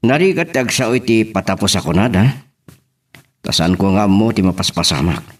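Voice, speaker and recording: A man acts out an anxious husband left at home with the kids. His voice is on the low side, with big swings in pitch. He speaks hesitantly and conversationally, as in an amateur audio drama. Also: lively intonation, normal speed. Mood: somber.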